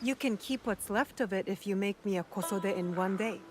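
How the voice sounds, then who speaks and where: An actress playing a determined, guarded undercover avenger, direct and composed, in a feudal Japan video game. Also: female voice, medium pitch, moderate pace, a little hiss or hum, close to an everyday voice. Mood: insistent. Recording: music under the dialogue.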